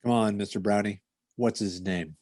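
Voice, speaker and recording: A man playing a deadpan, clipped police detective narrating his case in a 1950s radio parody style. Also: on the low side, moderate pace, dry recording, clean studio sound, close to an everyday voice.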